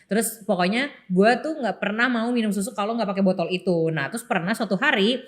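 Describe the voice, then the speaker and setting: A young adult woman with a Jakarta Indonesian accent co-hosts a podcast, chatting casually and telling personal stories in an animated, slangy way. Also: mid-range voice, brisk. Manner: animated storyteller, slangy, acts out remembered remarks. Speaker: podcast co-host. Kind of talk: casual chat, personal storytelling.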